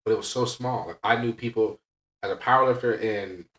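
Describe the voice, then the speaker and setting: A man's voice: on the low side, brisk, fairly bright tone. A man talking candidly and casually as a podcast host and coach, emphatic and blunt, often checking in with "right?". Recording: echoey room, distant from mic.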